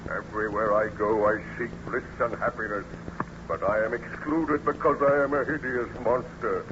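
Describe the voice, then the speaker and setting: Monster played by male actor: wretched, menacing, slow and declamatory; vintage radio horror, noisy, dull recording. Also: lowish voice, steady tone, natural voice. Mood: anguished. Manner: slow, grave, declamatory, formal diction. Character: wretched hideous man-made monster, bitter, menacing, self-pitying. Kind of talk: old-time radio drama acting.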